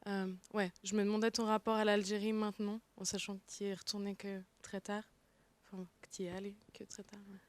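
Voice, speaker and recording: Even-toned woman moderating a panel. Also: mid-range voice, no room sound. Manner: measured, hesitant questioning. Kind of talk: panel discussion. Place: festival panel.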